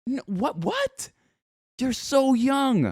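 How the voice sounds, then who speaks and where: A man's voice: extremely high pitch, drawn-out words, slightly rough, no echo, clean studio sound. A man in his twenties hosting a live-stream interview, talking energetically and speaking to the chat as he goes. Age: 20s. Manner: energetic, upbeat, addresses the chat. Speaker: host.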